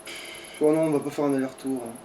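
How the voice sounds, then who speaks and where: A man's voice: medium pitch, fairly even intonation, fast, warm tone, recorded from a distance, faint music behind, rough recording. A man chatting casually as he plays a video game, grumbling and swearing in an informal, conversational way. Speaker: let's play gamer, playing the game. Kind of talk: casual gaming chat, commentary.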